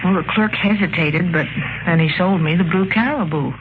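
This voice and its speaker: A woman's voice: on the low side, normal speed, natural voice. An actress as a poised, coaxing woman, muffled sound. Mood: earnest recounting. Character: poised, coaxing young American woman seeking help. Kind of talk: 1950s radio drama acting. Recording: muffled, noisy old radio recording.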